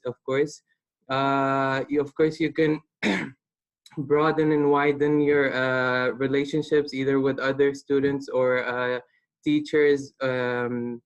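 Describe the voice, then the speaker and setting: A nineteen-year-old man from Palestine presenting a webinar as a student, hesitant and pausing often. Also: fairly high pitch. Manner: hesitant, with many pauses.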